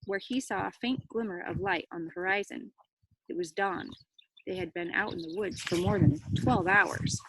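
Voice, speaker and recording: A woman reading a children's story aloud, with expressive dramatic emphasis and brief explanatory asides. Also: medium pitch, slightly rough, no room sound, low-quality recording. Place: outdoors near cows. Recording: faint background noise.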